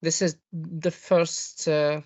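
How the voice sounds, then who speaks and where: An audience member from Morocco with a Moroccan accent, asking a question in halting, non-fluent English and searching for words. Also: mid-range voice, flat delivery, darkish tone, no echo. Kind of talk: hesitant spoken question in an online meeting. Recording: dull, dry lo-fi call audio.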